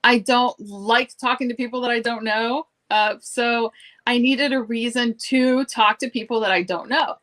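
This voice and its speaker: A middle-aged woman from Cuba, a guest chatting casually in an interview, self-deprecating and lively in her storytelling. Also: a little high, normal speed.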